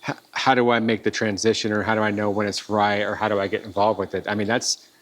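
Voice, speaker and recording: Male podcast host, lowish voice, inquisitive, with halting questions. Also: flat delivery, fairly bright tone. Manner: curious, restarts his questions. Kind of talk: interview questions.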